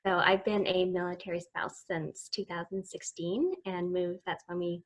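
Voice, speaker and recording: A woman's voice: on the low side, flat delivery, normal speed, low-quality recording. A woman, telling her story as an interview guest in a rambling, casual way. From Eastern Canada. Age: 40s. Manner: rambling, many restarts and "so"s. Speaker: guest, summit founder.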